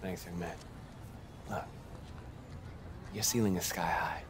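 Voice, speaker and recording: A low American man's voice as a tough-love basketball mentor in a game. He challenges and motivates with pointed questions, over clear outdoor city noise. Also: fairly even intonation, takes it slowly. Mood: encouraging. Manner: motivational, punctuated with rhetorical questions. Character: tough-love male basketball mentor, demanding but believing. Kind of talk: naturalistic video game cutscene acting.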